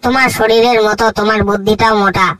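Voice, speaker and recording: A man's voice: high voice, fairly even intonation, quick pace, no room sound, distant mic. A man voicing a bossy, scheming crocodile wife. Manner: sharp-tongued and nagging. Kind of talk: cartoon voice acting.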